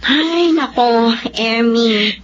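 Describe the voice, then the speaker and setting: A woman's voice: on the high side, drawn-out words. An actress playing a blunt, nosy young female friend with a Filipino accent, probing and scolding in a radio drama. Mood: reproachful. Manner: probing, animated. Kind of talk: Tagalog radio drama acting. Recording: dull lo-fi track with background noise.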